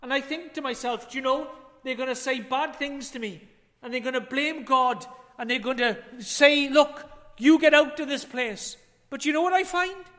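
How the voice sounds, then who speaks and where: A man preaching a chapel sermon, earnest, with rhetorical questions and swells and drops in loudness. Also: very high voice, normal speed. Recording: long hall reverb.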